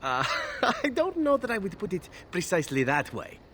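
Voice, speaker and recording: An actor playing a flirty, roguish elf assassin in a video game, talking in a suave, wry, theatrical way. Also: male voice, high-pitched, wildly swooping pitch, normal speed, a bit bright, a little hiss or hum, full character voice. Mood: wry, nonchalant. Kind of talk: video game character acting.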